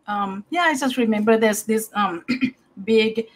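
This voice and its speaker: A woman's voice: a little high, unhurried. Middle-aged lady hosting a video call, hesitant, laughs a lot. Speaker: host. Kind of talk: interview hosting. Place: home room.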